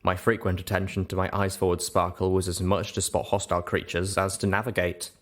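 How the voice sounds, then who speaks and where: A man's voice: sits low, little pitch movement, brisk. A man reading scripted first-person narration as an audiobook narrator.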